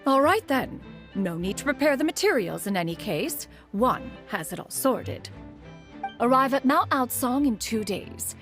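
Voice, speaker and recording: A woman's voice: a little high, big pitch swings, unhurried, full character voice. An actress voicing a proud, aloof ancient female immortal who speaks formally and calls herself "one". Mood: brisk. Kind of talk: game dialogue. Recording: soft game music behind.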